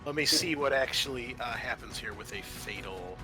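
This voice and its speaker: A man acting as game master, narrating and refereeing a horror roleplaying game in a halting way with stretched, dramatic emphasis. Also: medium pitch, pushed and bright. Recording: background music under the voice.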